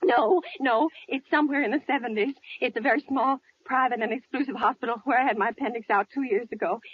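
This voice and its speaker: An actress playing a nervous, demanding invalid wife in a 1940s radio thriller, frantic and imperious, pleading and stammering. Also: female voice, fairly high pitch, fast talker, lightly acted. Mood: impatient, demanding. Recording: dull, crackly vintage radio recording.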